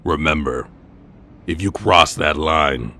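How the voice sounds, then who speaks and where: Casting: grave comic-book crimefighter mentor, extremely low, forceful, measured and admonishing. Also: male voice, heavily acted. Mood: stern. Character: stern, grave adult male vigilante mentor. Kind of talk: motion comic voice acting.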